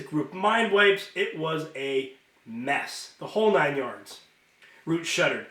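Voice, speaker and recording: A man reading a novel aloud as an amateur narrator, expressive and informal, sometimes stumbling and correcting himself. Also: on the high side. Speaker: amateur audiobook reader. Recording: roomy, echoing home recording.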